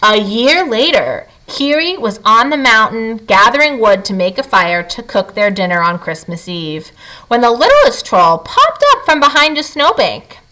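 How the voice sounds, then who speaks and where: A woman teacher reading to children and guiding them through a lesson, expressive and speaking to them directly. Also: on the high side, a little slow, rough recording. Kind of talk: children's read-aloud and lesson.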